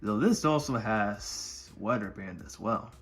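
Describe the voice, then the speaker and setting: Guy w low gravelly voice showing off his collection, kinda halting, faint music behind. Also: animated. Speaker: video creator presenting a collection. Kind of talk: casual product showcase narration.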